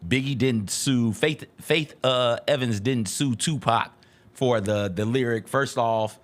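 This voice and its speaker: A man's voice: medium pitch, measured pace. An American man co-hosting a talk show with casual, slangy commentary, emphatic and often repeating himself.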